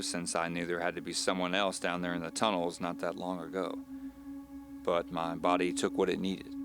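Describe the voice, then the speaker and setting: A man playing an uneasy man recounting his own mystery in measured, confiding, scripted audio drama narration. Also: deep voice. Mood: weary, confiding.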